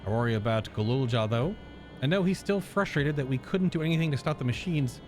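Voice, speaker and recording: A man reading game dialogue aloud as an earnest, dutiful fantasy character with formal, measured wording. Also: mid-range voice, expressive, a little mellow, faint music behind, close to an everyday voice. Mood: concerned.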